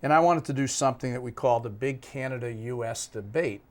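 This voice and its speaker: A man's voice: medium pitch, a little fast. A man co-hosting a sports show, talking in a casual, chatty way. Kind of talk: casual chat.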